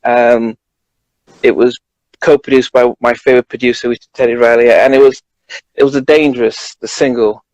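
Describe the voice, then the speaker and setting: A man's voice: mid-range voice, level tone, moderate pace, darkish tone, dry recording, lo-fi sound. Hesitant British man in a dry recording. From United Kingdom. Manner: hesitant, repeats words as he thinks. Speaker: guest, journalist. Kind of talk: casual chat.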